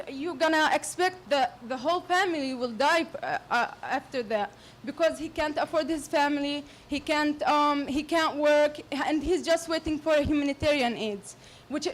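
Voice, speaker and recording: A woman with a Palestinian Arabic accent, speaking English as her second language, making a halting, earnest comment from the floor of a public Q&A. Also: sits high, a little hiss or hum. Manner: halting and earnest, many restarts. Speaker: audience member. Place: lecture hall.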